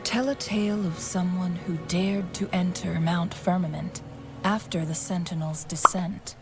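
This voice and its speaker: An actress playing a composed, mysterious young woman strategist in a fantasy video game, speaking softly in measured, formal phrases. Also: on the low side, steady tone. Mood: serious, measured. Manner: measured, unhurried, formal, soft-spoken. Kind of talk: video game voice acting, storytelling dialogue. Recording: music and rain behind the voice. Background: game music, rain.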